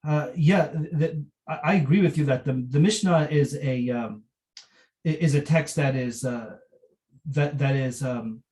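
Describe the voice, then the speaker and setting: A man giving an unscripted academic lecture, halting as he searches for words and often repeating phrases. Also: fairly high pitch, a little mellow.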